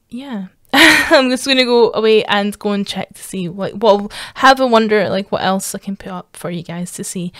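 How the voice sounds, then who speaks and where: A woman's voice: mid-range voice, dry recording, clean studio sound. Chatty woman with an Edinburgh accent. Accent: Edinburgh Scottish. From Scotland. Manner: rambling, full of fillers and restarts. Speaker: streamer. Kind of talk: casual chat to viewers.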